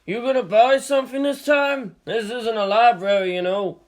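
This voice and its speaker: A narrator voicing a gruff, blunt, teasing old man who runs a manga shop in an audiobook story. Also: medium pitch, moderate pace, voice pushed up, close to an everyday voice. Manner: blunt, grumbling.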